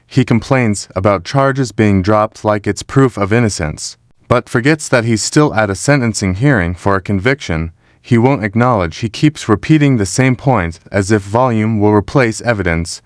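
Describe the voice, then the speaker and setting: A man reading scripted voice-over commentary in a steady, disapproving tone. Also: on the low side, normal speed, no echo, studio quality. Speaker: narrator.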